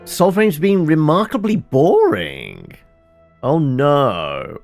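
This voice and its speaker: A man giving casual commentary on his own gameplay. He rambles and corrects himself, and his gravelly voice rises and falls a lot. He speaks slowly over faint game music. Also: on the high side, sing-song, darkish tone. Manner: rambling, self-correcting, muttering while sorting inventory. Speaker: let's play host.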